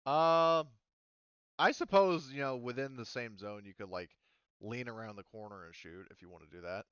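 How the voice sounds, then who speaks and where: A man running a tabletop roleplaying game as game master, casual and halting as he thinks aloud. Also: mid-range voice, a bit bright, clear, resonant tone, no echo, muffled recording.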